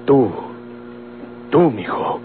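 A man acting in an old Mexican radio drama as a jealous, possessive aging father in prison, pleading and commanding in rustic speech. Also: extremely slow. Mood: wounded, reproachful. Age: older. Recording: old tinny radio recording with hum.

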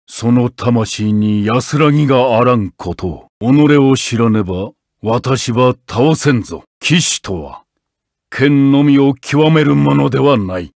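Stern noble knight from a fighting game, gravelly and slow, solemn and commanding. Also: male voice, mid-range voice, no room sound, studio quality. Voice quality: gravelly, husky. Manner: solemn, commanding, forceful battle shouts. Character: honorable armored knight, stern, resolute warrior. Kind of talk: Japanese fighting game character voice acting.

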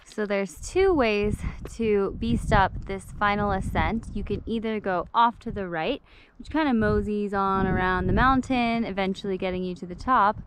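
A woman's voice: mid-range voice, moderate pace, low-quality recording. A bubbly young woman narrates a mountain hike outdoors in a teasing, upbeat way. Her voice sits in a mid range for a woman and is picked up by an action camera in the open air. Music plays behind her. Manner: bubbly, teasing, laughs often. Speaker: vlogger hiker. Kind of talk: casual outdoor vlog narration. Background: background music.

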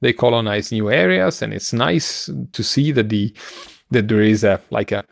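A middle-aged man with a gravelly voice, co-hosting a casual science news discussion in a halting way, searching for words. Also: on the low side, lively intonation, no echo, studio quality. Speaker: podcast co-host. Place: home room, video call. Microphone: headset on a video call.

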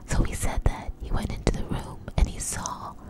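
A woman telling a story in a soft, breathy ASMR whisper, close to the mic, in a conversational, hesitant way. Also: normal speed.

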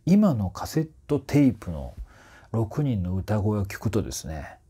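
A man in his forties giving casual, explanatory commentary to camera as a voice trainer, in a slightly husky voice. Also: lowish voice, a little slow. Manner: animated, often sings short phrases to demonstrate. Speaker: voice trainer reviewing singers. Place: small indoor room with curtains.

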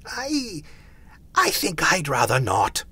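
A man voicing a timid old miser in a storybook reading, high-pitched, faltering and deferential. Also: wildly swooping pitch, normal speed, creaky, husky, full character voice. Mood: frightened, pleading. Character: timid, pleading old miser humbled by fear.

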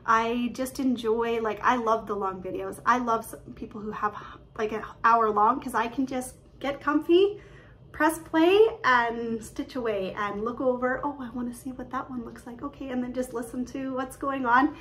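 A woman, chatting excitedly and quickly about her craft projects from her living room, filled with "um"s and little laughs. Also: a little high. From Canada. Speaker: cross-stitch vlogger. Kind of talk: casual show-and-tell chat. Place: living room at home, indoors. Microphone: webcam at desk distance.

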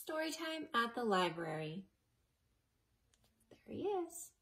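A woman in her forties reading a picture book aloud to young children in a slow, exaggerated, sing-song way, recorded on a close webcam at home. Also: a little high, very animated, a bit bright. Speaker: children's librarian. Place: living room at home, then a library.

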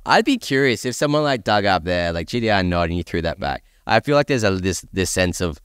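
A man's voice: low voice, big pitch swings, brisk, fairly bright tone, smooth, no room sound, studio quality. A man co-hosting a podcast, musing thoughtfully in casual panel chat. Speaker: podcast co-host.

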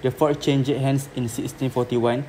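A man's voice: mid-range voice, level tone, unhurried, dark tone, lightly acted, low-quality recording. A young man with a Malaysian accent playing a welcoming local tour guide in an amateur audio drama, reading stiffly from a script. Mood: informative. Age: young adult. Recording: amateur recording, background noise.

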